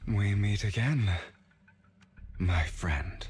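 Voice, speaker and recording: A man playing a guilt-ridden, haunted young man in a video game, speaking in a halting, hushed way. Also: on the low side, lively intonation. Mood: resigned. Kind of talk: dramatic video game voice acting.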